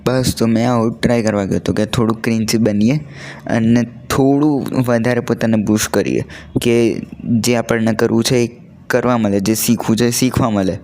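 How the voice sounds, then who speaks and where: A man's voice: medium pitch, moderate pace, darkish tone, faint music behind. A young adult man chats casually and earnestly, telling stories in a conversational way and mixing in English words. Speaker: vlogger.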